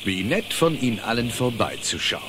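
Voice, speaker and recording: A man's voice: mid-range voice, sing-song, background noise, natural voice. A man playing a cool, suave and powerful tycoon tiger in a cartoon audio drama, sardonically polite. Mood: dry irony. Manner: polite, sardonic, unhurried authority.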